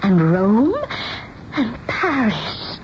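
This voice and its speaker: An actress playing a timid, childlike, reclusive spinster seamstress, hesitant and stammering, in a 1970s radio drama. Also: female voice, mid-range voice, very animated, very slow. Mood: dreamy, wistful. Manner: hesitant, stammering, repeats words. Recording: noisy, dull old radio broadcast.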